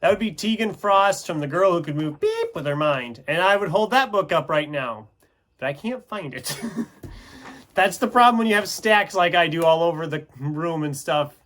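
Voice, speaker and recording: A man with a somewhat high voice chats casually as a video host sharing his opinions. He speaks off the cuff in a conversational, rambling way, often breaking off and restarting his thoughts. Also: fairly bright tone. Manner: rambling, self-interrupting, conversational. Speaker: book reviewer video host. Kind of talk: casual unscripted chat to camera.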